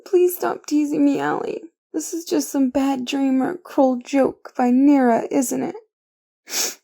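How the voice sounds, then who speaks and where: A woman's voice: sits high, fairly even intonation, slow, creaky, warm tone, heavily acted. A woman acting in an audio drama as a dazed, hungover, melodramatic woman who is plaintive and tender. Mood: shocked, disbelieving. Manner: groggy, plaintive, emotional.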